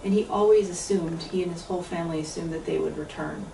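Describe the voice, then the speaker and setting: A woman in her fifties gives a reflective talk about her translation, her voice low and gravelly with a measured pace and frequent pauses. She is picked up from some distance in an echoey lecture room, with a faint hiss of noise underneath. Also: steady tone. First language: English. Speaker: author and translator giving a talk. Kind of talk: book talk with poetry reading.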